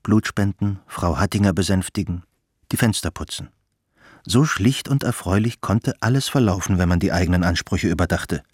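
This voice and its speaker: A man's voice: deep voice, moderate pace, a little mellow, breathy edge, dry recording, clean studio sound. A man narrating a literary radio drama from a script.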